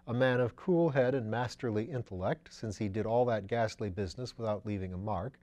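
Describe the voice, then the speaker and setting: A man voicing a sober, methodical detective inspector in a storybook, laying out deductions in a measured way. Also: fairly low pitch, darkish tone, natural voice.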